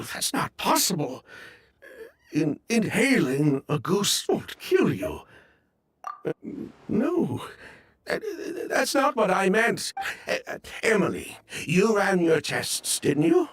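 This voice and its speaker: An actor playing a nervous, evasive, grieving man in a video game, speaking in a halting, flustered way. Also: high-pitched, wildly swooping pitch, a bit bright, husky, heavily acted. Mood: panicked, tearful. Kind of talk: dramatic video game voice acting.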